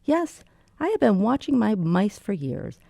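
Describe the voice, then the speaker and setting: A woman playing a gentle, eccentric spinster in an amateur radio drama, speaking in a prim and earnest way. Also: mid-range voice, big pitch swings, measured pace, creaky, dry recording, studio quality, full character voice. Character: gentle eccentric old maid fond of mice.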